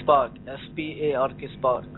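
A man's voice: medium pitch, steady tone, normal speed. A man from Pakistan calling a radio show, speaking English with a Pakistani accent, hesitant and informal. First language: not English. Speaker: caller. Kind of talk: phone call to radio show. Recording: faint noisy phone line.

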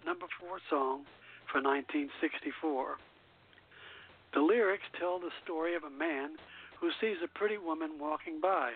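A man's voice: fairly high pitch, slight background noise. A man hosting a call-in radio show, reading song history from notes between records and slipping now and then over the text. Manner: reads from prepared notes with small slips. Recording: phone-like internet radio line.

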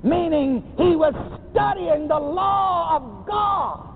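A man preaching a sermon, shouting and leading his congregation in call and response. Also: extremely high pitch, lively intonation, takes it slowly, gravelly. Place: church. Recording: tinny lo-fi congregation recording with crowd noise.

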